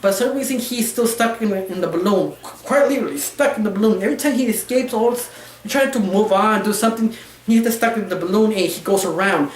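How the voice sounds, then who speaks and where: A woman giving a casual, opinionated review, rambling and halting, with non-fluent English phrasing. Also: mid-range voice, slight background noise. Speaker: cartoon reviewer. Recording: roomy, echoey sound.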